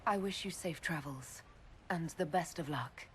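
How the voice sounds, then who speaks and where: Low-voiced, composed commander. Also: female voice, unhurried, a bit bright, slight background noise. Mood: concerned, gentle. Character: composed, caring female leader of a military order. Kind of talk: video game cutscene dialogue.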